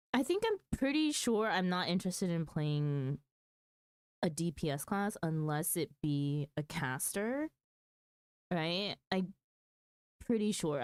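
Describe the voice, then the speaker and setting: A woman with a low-pitched, smooth voice talks casually as she streams a game. She takes her time, thinking out loud and hesitating as she weighs options. The sound is close and dry. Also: dry recording. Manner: hesitant, thinking aloud, mulling over choices. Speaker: game livestreamer. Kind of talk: casual livestream chat about gaming.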